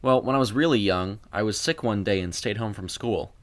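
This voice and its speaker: A man voicing a grieving, wistful sister in an audio story, speaking in a hesitant, halting way. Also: lowish voice, close to an everyday voice. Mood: recalling, hesitant. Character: grieving young woman, wistful sister reminiscing.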